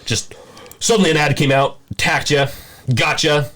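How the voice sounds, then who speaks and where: A man's voice: a little high, steady tone, moderate pace, fairly bright tone. A man chatting casually as a podcast host, informal and playful in how he talks. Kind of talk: casual chat.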